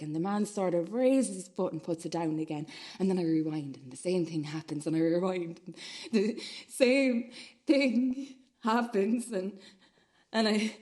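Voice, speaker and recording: Shaken woman acted, medium pitch, intense halting monologue, keeps repeating stuff, radio play. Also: a little mellow, natural voice. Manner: halting, obsessively repetitive. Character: shaken, obsessive witness recounting violent footage.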